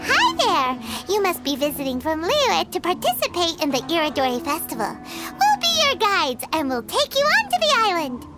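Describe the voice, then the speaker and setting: A woman voicing a tiny, childlike, excitable fairy companion in a video game, chatty and squeaky. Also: moderate pace, exaggerated voice. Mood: cheerful, chatty. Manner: excitable, exclaiming, refers to self in third person. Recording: music playing behind the voice.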